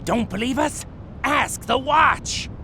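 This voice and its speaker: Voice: sits high, lively intonation, takes it slowly, sharp tone, slight background noise, full character voice. An actor playing a brash, dim lizard-man goon with slangy tough-guy talk in a noir audio drama. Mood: defiant.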